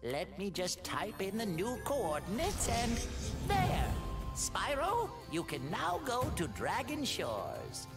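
A man's voice: high-pitched, huge pitch swings, slow pace, full character voice. An actor voicing a fussy old male professor, a brainy inventor, in a video game. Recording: game audio with music behind.

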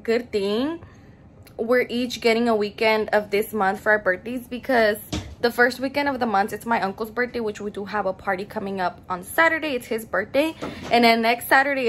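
Chatty woman in her early 20s, vlogs to a phone held at arm's length, fairly high voice with a bit of background noise. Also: a bit bright. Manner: bubbly, chatty, addresses viewers as y'all. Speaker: vlogger. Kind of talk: casual chat to camera. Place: home bedroom, then car and stores. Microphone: phone camera at arm's length.

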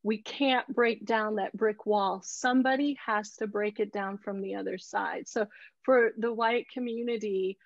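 Middle aged lady talking slow and emphatic on a video call, earnest, really dry muffled sound. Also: medium pitch, steady tone, gravelly, dry recording. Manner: emphatic, deliberate, repeats key words for weight. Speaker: photographer giving a talk. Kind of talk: earnest presentation and discussion. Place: indoor room on a video call. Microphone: earbuds on a video call.

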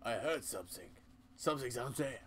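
A man voicing a gentle, earnest big young male bear in a video game, soft-hearted and a little hesitant. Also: mid-range voice, animated, brisk, slight background noise, lightly acted. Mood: concerned. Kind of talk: amateur video game voice-over.

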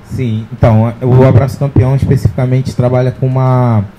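Earnest man with a dark, mellow voice. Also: medium pitch, level tone, brisk, slight background noise, low-quality recording. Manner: earnest, rambling with many fillers. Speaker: social project leader. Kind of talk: panel discussion. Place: indoor event stage with sponsor backdrop. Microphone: handheld mic.

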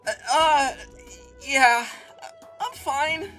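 Panicky, sarcastic hedgehog hero for a cartoon dub, played by a woman: bright, very high, foul-mouthed. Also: very slow, faint music behind, full character voice. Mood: nervous denial. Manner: excitable, stammering, swearing. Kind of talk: comedic cartoon fandub.

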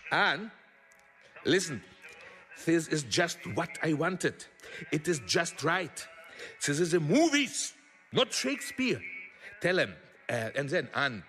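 An actor in a radio drama playing a pompous, impatient, blustering veteran film producer. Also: male voice, fairly high pitch, big pitch swings, takes it slowly, pauses often, fairly bright tone, big-room sound, soft background music, full character voice. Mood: commanding. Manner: pompous, hesitant filler sounds, switches languages, barks orders.

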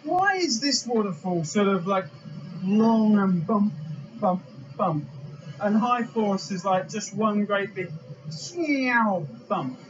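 A man's voice: high-pitched, animated. A young man presenting outdoors, asking questions in an informal, colloquial way. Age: young adult. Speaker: presenter interviewing an expert. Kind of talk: casual questioning. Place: outdoors beside a roaring waterfall. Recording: dull sound, loud rushing water noise.

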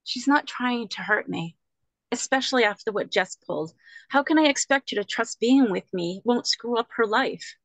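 A woman's voice: a little high, expressive, normal speed, fairly bright tone, no echo, rough recording. A middle-aged woman playing an earnest, lovelorn woman putting on a brave face in a screenplay table read. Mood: hurt, earnest. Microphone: video call microphone.

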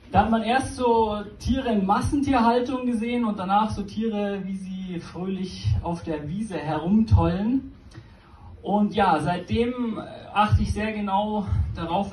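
Young comic's high voice in a roomy club. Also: male voice, recorded from a distance. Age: young adult. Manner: deadpan delivery with hesitant fillers. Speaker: stand-up comedian. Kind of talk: stand-up comedy set. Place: small comedy club stage. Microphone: handheld mic, filmed from the audience. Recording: roomy club sound, crowd noise.

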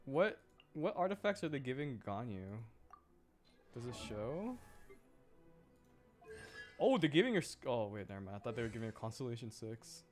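A man's voice: mid-range voice, sing-song, moderate pace, pauses often, a little mellow, soft background music. A man chatting casually on a game livestream, rambling and often repeating his words as he reacts to viewers. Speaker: game streamer.

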